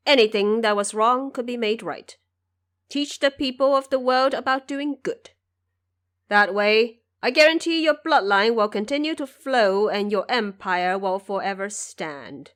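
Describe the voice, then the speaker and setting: A woman's voice: medium pitch, close to an everyday voice. A woman voicing a solemn, dignified male judge of the underworld in an audio drama, formal and instructive. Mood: earnest, grave.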